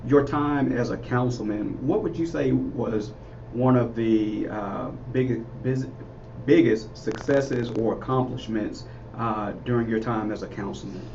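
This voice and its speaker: Male talk-radio host, affable and agreeable; distant, dull sound with clear background noise. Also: medium pitch, unhurried, darkish tone. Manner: friendly, frequent affirmations. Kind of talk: radio interview. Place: radio studio. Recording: distant mic, muffled, noisy.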